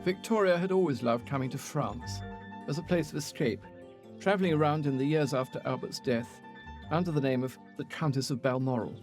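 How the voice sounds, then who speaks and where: A man's voice: mid-range voice, brisk. A man presenting a documentary in scripted narration. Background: music.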